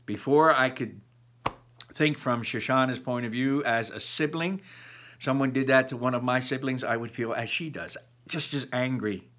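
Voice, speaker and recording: A man reading a children's novel aloud expressively, with emphatic pauses and thoughtful asides to the listener. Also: mid-range voice, a bit bright. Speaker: read-aloud narrator.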